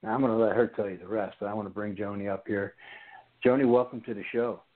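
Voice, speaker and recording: A chatty radio host in his sixties with a low voice talks in loose, rambling runs full of restarts. The audio is tinny and narrow, like a phone line. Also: fairly even intonation, quick pace. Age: 60s. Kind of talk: interview on internet radio.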